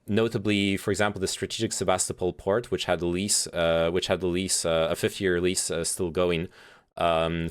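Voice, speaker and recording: A man's voice: deep voice, smooth. A young man streaming, chatting about politics with many fillers and restarts, swearing freely as he reads and answers chat. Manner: reads chat messages aloud and reacts.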